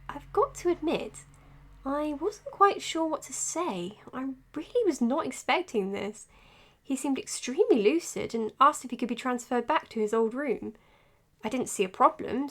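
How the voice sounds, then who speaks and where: A woman playing an earnest, dutiful psychiatrist in an audio drama, speaking in a measured, precise, professional way. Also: a little high, fairly bright tone. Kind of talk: audio drama acting as spoken case notes and dialogue.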